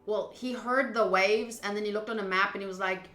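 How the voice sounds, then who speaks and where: A woman's voice: medium pitch, steady tone, brisk, sharp tone, reverberant, soft background music. A woman streamer doing a game playthrough, reading text aloud and adding casual commentary.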